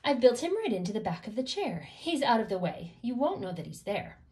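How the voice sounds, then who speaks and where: A woman's voice: a little high, big pitch swings, a little fast, a little mellow. A woman host reads a children's picture book aloud, following the rhyme with rhythmic, emphatic phrasing. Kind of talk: expressive rhyming storybook read-aloud for children.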